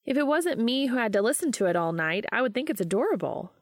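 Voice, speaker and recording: A woman's voice: on the high side, very animated, fast, dry recording, clean studio sound, pitched above the performer's own voice. A woman voicing a young woman, a teasing, meddling best friend in a story, breezy and gleeful. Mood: exasperated teasing.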